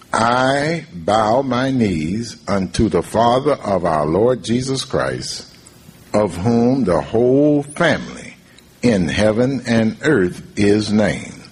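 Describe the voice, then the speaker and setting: A raspy male voice reads scripture at a very slow, deliberate pace. His pitch rises and falls widely as he lingers on each phrase. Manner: measured, drawn-out reading. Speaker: scripture reader.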